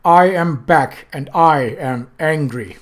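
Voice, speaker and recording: A man voicing Death, a cold, towering, angry figure, speaking slowly and sternly in a storybook reading. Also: on the high side. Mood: menacing. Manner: slow, stern, commanding.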